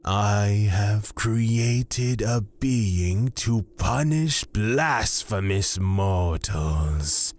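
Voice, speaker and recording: An actor playing a calm, menacing god of fear, speaking deliberately and formally in a husky, breathy voice in a fantasy audio drama. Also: male voice, on the low side, full character voice. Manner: deliberate, formal, drawn-out phrasing.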